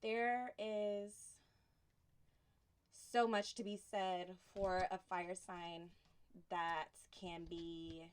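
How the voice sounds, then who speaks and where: Smooth-voiced woman giving a relaxed tarot reading. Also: lowish voice. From Houston. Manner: soft, unhurried, reflective delivery with pauses. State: sniffly from allergies. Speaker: tarot reader.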